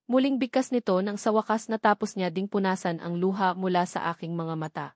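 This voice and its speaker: Casting: Filipino woman for first-person romance audiobook, medium pitch, dry recording. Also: fairly even intonation, fairly quick, a little mellow, no room sound. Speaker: audiobook narrator. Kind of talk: scripted first-person romance novel narration.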